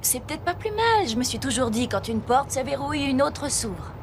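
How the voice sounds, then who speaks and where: A chatty, optimistic well-wisher in a sitcom. Her voice is high, with very loud street-like noise around it. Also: very animated, normal speed. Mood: curious, consoling.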